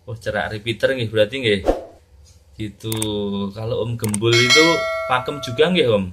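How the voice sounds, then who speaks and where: A man in his thirties with a Javanese-region Indonesian accent hosts a casual ham radio chat. His voice sits at a medium pitch. The recording is made in a small home room and is clearly noisy. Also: normal speed. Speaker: amateur radio operator and channel host. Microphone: camera at arm's length, handheld radio microphone in hand.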